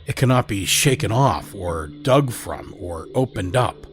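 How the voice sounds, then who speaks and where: A man with a somewhat low voice narrates a scripted audio drama. He tells the story in a measured, dramatic way. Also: expressive, soft background music.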